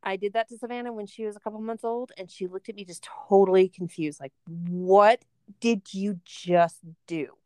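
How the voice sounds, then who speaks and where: A middle-aged woman co-hosting a casual podcast chat. She tells stories with animation and acts out voices. Her voice is mid-pitched and the recording is dry and close. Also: steady tone, normal speed, a little mellow, dry recording. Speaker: podcast co-host and substitute teacher.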